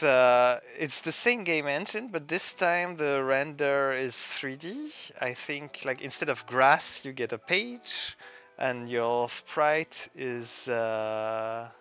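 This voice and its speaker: A man's voice: mid-range voice, expressive, slow pace, pushed and bright, smooth, lo-fi sound, soft background music. A man giving casual, hesitant gameplay commentary, thinking aloud and sometimes reading text out. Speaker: game streamer and the game's creator.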